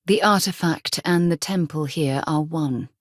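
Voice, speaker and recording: A woman playing an earnest, principled space explorer with military training in a video game, speaking in a measured, articulate and sincere way with a husky voice. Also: sits low, no room sound, clean studio sound. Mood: composed, explanatory. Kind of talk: video game companion dialogue acting.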